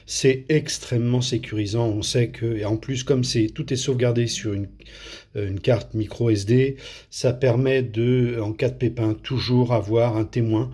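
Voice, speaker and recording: A man's voice: mid-range voice, steady tone, moderate pace, mellow, breathy edge. Man giving a hesitant, unscripted product review. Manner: hesitant, searching for words.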